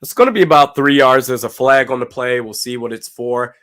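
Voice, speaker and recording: A man whose voice runs a little raspy and hoarse from weeks of yelling. He calls plays as they happen and chats loosely with his viewers, speaking at a medium pitch. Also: fairly quick. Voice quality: slightly raspy. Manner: casual, talks to chat, reads comments aloud. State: hoarse from screaming. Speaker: watch party streamer. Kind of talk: live game commentary and chat reaction.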